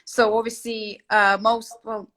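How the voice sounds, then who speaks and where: Young woman, from Latvia, Russian native speaker, bright tense tone, casual host chat, halting with ums. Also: on the high side, little pitch movement. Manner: hesitant, many fillers and restarts. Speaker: channel host, interior sketch artist. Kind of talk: casual conversation.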